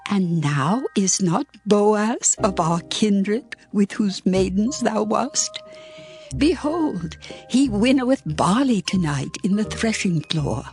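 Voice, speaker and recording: A woman playing a caring older mother-in-law who gives earnest, measured counsel in a dramatized scripture reading. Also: mid-range voice, animated, a little mellow, faint music behind.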